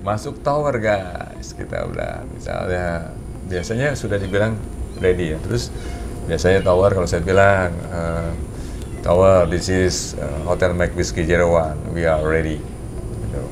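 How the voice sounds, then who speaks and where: A man hosting a casual, animated chat, speaking colloquially and mixing in English aviation terms. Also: low voice, a little slow, vocal fry, noisy background. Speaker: host, a pilot. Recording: music playing behind the talk. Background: background music.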